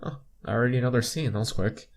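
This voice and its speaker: A man's voice: mid-range voice, fast talker. Young man casually reading a game aloud. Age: young adult. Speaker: gameplay video host. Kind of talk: casual visual novel playthrough, reading text aloud with asides.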